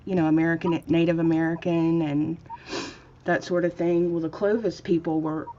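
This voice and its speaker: A woman's voice: low-pitched, flat delivery, normal speed, warm tone, highs cut off, a little hiss or hum. A woman in her fifties hosting a casual chat, talking in a meandering way. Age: 50s. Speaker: host of a painting stream.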